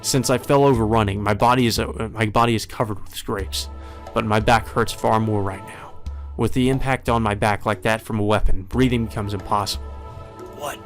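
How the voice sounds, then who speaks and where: A man's voice: on the low side, fairly even intonation, brisk. A man reading a visual novel aloud as dramatic first-person narration. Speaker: let's play reader. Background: music.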